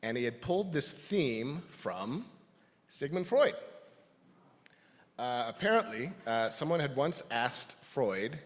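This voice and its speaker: A man's voice: mid-range voice, sing-song, takes it slowly, pauses often. A man giving an informal, playful personal reflection to a congregation. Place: large indoor church space. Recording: echoey hall with long reverb.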